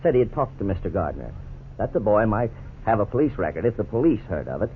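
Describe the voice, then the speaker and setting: A man with a deep, low voice narrates in a grave, measured way, in scripted 1950s radio style. The sound is thin and phone-like, with faint background hiss. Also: sing-song. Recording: tinny old radio broadcast, faint hiss.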